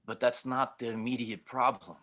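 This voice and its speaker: A man's voice: mid-range voice, fairly even intonation, moderate pace, pushed and bright. A man playing a blunt, protective, reassuring starship pilot in an audio drama table read. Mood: grave, blunt. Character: gruff, steady older male starship pilot, protective of his companion.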